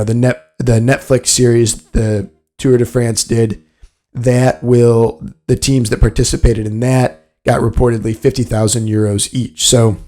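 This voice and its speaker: A man's voice: mid-range voice, dark tone. A man hosting a solo sports business podcast, explaining things in a matter-of-fact way. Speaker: podcast host.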